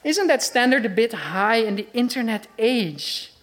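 A woman's voice: fairly high pitch, lively intonation, unhurried. Dutch-accented woman preacher, earnest, conversational, full of rhetorical questions. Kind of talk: sermon.

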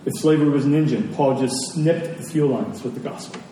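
A man preaching in a conversational sermon style, earnest and rhetorical, pausing deliberately for weight. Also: medium pitch, mellow. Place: large reverberant room, church service. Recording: very echoey large hall, distant, noisy.

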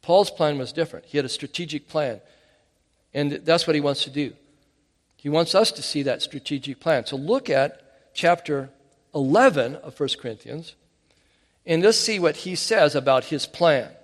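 A man preaching with an animated, emphatic delivery, often stopping to restart a phrase. His voice sits a little higher than most men's. A long hall reverb trails after his words. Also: moderate pace. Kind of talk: sermon.

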